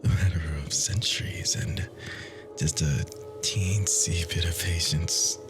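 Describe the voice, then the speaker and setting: A man voicing an ancient, possessive immortal lover in an ASMR roleplay, hushed, breathy and lingering. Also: low-pitched, slow pace, over music, voice pushed down. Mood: tender obsession.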